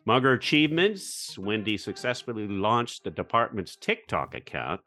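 Lower-voiced man co-hosting an interview. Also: very animated, moderate pace, pushed and bright, soft background music. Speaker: podcast co-host.

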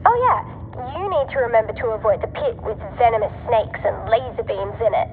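A woman's voice: on the high side, expressive, brisk, narrow, tinny audio. A woman playing a cheeky, scatterbrained girl tech helper in a sci-fi audio drama, speaking playfully through a radio intercom filter. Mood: teasing. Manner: playful, flippant. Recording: thin radio line, noisy, long reverb.

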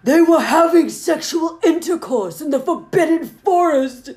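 Woman putting on a furious shouting old wizard headmaster. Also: sits high, animated, unhurried, pitched above the performer's own voice.

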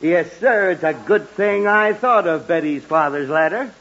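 A man's voice: a little high, expressive, a little slow, fairly bright tone, muffled recording, full character voice. An actor playing a self-important, exasperated middle-aged father who sputters through a 1940s radio comedy, on an old broadcast recording. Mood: eager, boastful.

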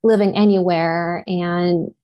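A woman's voice: lowish voice, fairly even intonation, slow pace, highs cut off. A woman in her thirties from Japan, with Japanese as her first language, answering interview questions thoughtfully as an actor, with frequent "you know" fillers. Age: 30s. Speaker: actor and guest.

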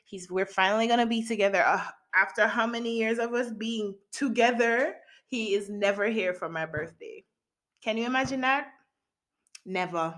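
A woman's voice: a little high, moderate pace, a bit bright. A woman in her late twenties hosting her own podcast, chatting candidly and playfully with frequent laughs. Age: late 20s. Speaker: podcast host. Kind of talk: casual solo podcast chat. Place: home in Japan.

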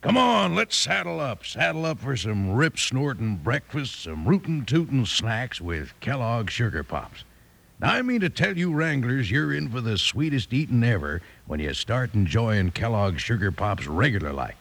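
A man doing a folksy, exuberant cowboy sales pitch in 1950s radio commercial style. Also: very animated, moderate pace, creaky, fairly bright tone. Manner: exuberant, hammy cowboy drawling slang. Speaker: cereal ad pitchman.

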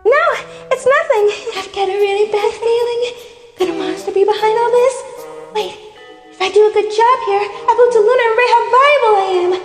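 A woman playing an excitable, boy-crazy, boastful teenage girl in an anime dub, with melodramatic, expressive delivery. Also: extremely high pitch, fairly quick, big-room sound, soft background music. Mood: scheming.

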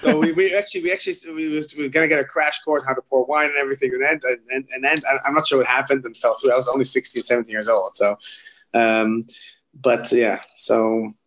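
A man's voice: mid-range voice, steady tone, fast, fairly bright tone, poor audio. A man in his forties from the Netherlands with a Dutch accent, a rabbi talking casually in an interview, often repeating words and restarting. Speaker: kosher supervision rabbi.

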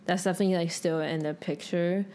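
A woman's voice: fairly low pitch, fairly even intonation, fast, warm tone. A young adult woman co-hosting a podcast in casual chat, thinking aloud in informal speech peppered with "like". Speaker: podcast co-host.

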